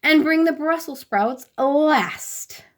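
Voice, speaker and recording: A woman voicing a kindly, eccentric young storybook king with emphatic, drawn-out regal speech. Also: sits high, pitched above the performer's own voice. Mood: commanding. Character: kindly, backward-talking young storybook king, grandly decreeing.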